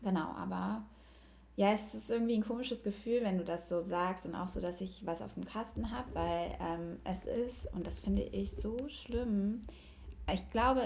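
A woman's voice: mid-range voice, normal speed, creaky, a little mellow, a little hiss or hum. A young woman chatting casually and reflectively as a podcast guest. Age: young adult. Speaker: podcast guest, yoga teacher and coach.

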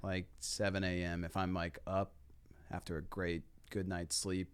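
A man with a lowish, slightly hoarse voice chats in an easygoing way. He muses aloud, trailing off with fillers as he picks his favorites. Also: little pitch movement, a little slow. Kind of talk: casual chat.

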